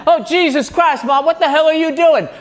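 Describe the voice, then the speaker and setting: A man voicing a mischievous, excitable father who gets loud and stammers when flustered. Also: very high-pitched, normal speed, voice pushed up. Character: easygoing Greek-American father, mischievous, excitable.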